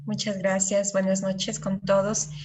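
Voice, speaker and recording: A woman's voice: medium pitch, monotone, measured pace, a little mellow, slight background noise. A woman from Ecuador, an occupational physician, introducing herself politely on a video call. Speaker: occupational physician, course participant.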